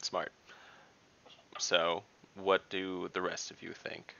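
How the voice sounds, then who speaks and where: A man playing a tabletop game on a video call, speaking in character with blunt, deliberate talk. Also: low voice, little pitch movement, gravelly, bright, forceful tone, no room sound, lo-fi sound. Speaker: player.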